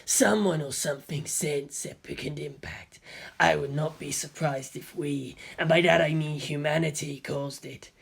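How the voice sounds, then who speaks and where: A man voicing a sly, duplicitous evil spirit in an audiobook, hushed, deliberate and insinuating. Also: medium pitch, steady tone, gravelly, breathy edge.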